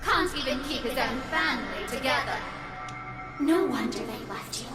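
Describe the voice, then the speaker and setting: A woman's voice: high-pitched, normal speed, natural voice. A woman voicing a cruel, mocking ghostly female apparition for a horror visual novel, with scornful, taunting delivery. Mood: contemptuous. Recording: echoing reverb, distant, music underneath.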